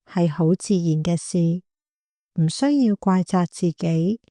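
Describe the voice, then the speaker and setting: A woman with a Hong Kong Cantonese accent guiding a meditation in a gentle, calm, unhurried manner. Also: lowish voice, dry recording, studio quality. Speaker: meditation guide, clinical psychologist. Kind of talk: guided body scan meditation.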